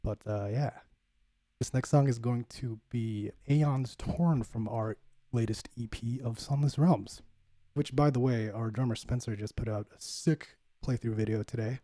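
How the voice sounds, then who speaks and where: A man hosting his own livestream, chatting casually with viewers in a relaxed, laid-back way, full of fillers and calling people "bud". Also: medium pitch, a little slow, no echo. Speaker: livestream host, bassist. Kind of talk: casual chat reading viewer comments.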